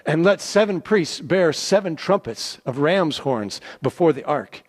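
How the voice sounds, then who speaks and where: A bold army leader acted by a man calls out across a long reverberant hall. The voice is raised high and projected, declaimed in a dramatic scripture style. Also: animated, measured pace. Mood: rousing.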